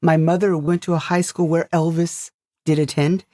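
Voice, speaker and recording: A woman's voice: sits low, level tone, moderate pace, dark tone, dry recording, studio quality. A woman from the United States co-hosting a podcast, chatting casually about stories she writes. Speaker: podcast co-host and story writer.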